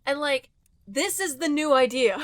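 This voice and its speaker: A woman's voice: high voice, lively intonation, unhurried, dry recording. A woman co-hosting a podcast, chatting casually and reacting to a story. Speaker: podcast co-host.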